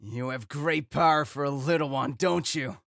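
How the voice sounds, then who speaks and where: A man's voice: on the high side, moderate pace, vocal fry, a bit bright, no room sound, studio quality, pitched above the performer's own voice. A man voicing a cocky young bandit in melodramatic old anime dub style. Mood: threatening.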